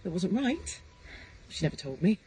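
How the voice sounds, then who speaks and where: An actress playing a loyal, guarded servant of a country house in a period audio drama, clipped and evasive, deferential but firm. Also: female voice, fairly low pitch, big pitch swings, moderate pace, warm tone, husky, exaggerated voice.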